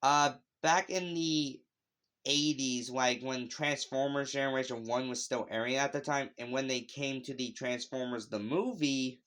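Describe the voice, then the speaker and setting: A man's voice: mid-range voice, a little slow, a bit bright. A man hosting a casual, unscripted video review, talking in a rambling, conversational way that drifts into asides. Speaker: YouTube video host reviewing animated shows. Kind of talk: casual unscripted opinion vlog.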